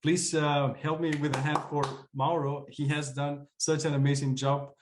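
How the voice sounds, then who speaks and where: A man's voice: fairly high pitch, flat delivery, normal speed, reverberant, noisy background. Informal, appreciative man hosting. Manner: appreciative, informal, chuckling often. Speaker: host of an online community meeting. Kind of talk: casual welcoming moderation of a video call.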